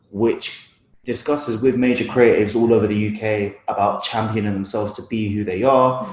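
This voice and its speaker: A young man with a London accent talking casually and informally about his work as a photographer in an interview. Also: on the low side. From East London, UK. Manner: informal, slangy. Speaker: guest photographer. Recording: roomy, echoey room sound.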